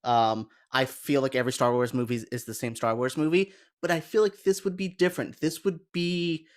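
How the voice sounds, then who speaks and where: A man's voice: mid-range voice, level tone, brisk. A man on a panel giving his opinions in an earnest, conversational way. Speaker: podcast panelist. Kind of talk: casual chat, giving opinions.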